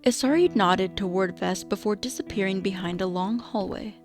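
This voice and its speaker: A woman narrates a scripted radio drama. Her voice is medium-pitched, in a storytelling style, with music softly underneath. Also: normal speed.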